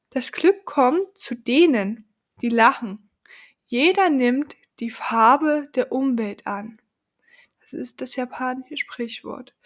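A woman hosting a podcast, talking slowly and thoughtfully to the listener, her voice deepened by a cold. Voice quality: lower than usual from a cold. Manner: slow, thoughtful, addresses the listener directly. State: has a cold. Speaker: podcast host. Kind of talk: reflective podcast monologue.

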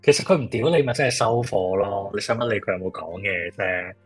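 A man's voice: on the low side, a little fast, slightly rough, soft background music. A man playing a blunt, teasing, easygoing old friend in a radio drama, with a Hong Kong Cantonese accent. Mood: blunt advice.

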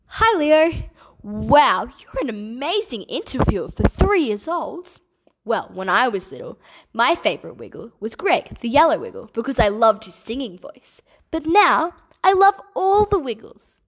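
A woman's voice: fairly high pitch, big pitch swings, unhurried. A woman in her twenties with an Australian accent, a children's entertainer answering kids' questions from home, bubbly and enthusiastic. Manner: bubbly, enthusiastic, speaks gently to kids.

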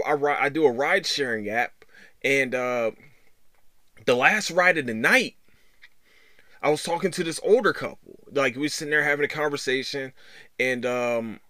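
A man hosting a casual solo podcast, talking in a rambling, halting way with repeated words and loose swearing. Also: medium pitch, pushed and bright, no echo, studio quality. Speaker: podcast host.

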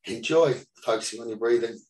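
A man leading a guided meditation as the teacher, giving soothing instructions in short phrases with pauses between them. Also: medium pitch, darkish tone. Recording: roomy echo, distant from the mic.

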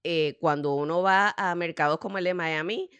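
A woman's voice: on the low side, moderate pace, fairly bright tone, clean tone, dry recording. A woman from Puerto Rico with a Puerto Rican accent, chatting casually as an interview guest. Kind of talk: casual chat.

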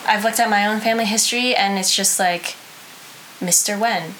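A woman's voice: medium pitch, fairly even intonation, moderate pace, background noise. An American woman co-hosting a podcast, telling a story in casual chat, hesitant with frequent ums and restarts. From United States. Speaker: podcast co-host.

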